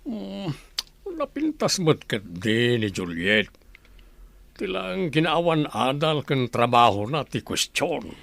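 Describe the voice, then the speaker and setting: A man's voice: mid-range voice, sing-song, slow pace, creaky, fairly bright tone, no room sound, heavily acted. An actor playing an easygoing older village husband and father in a radio drama. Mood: reasoning.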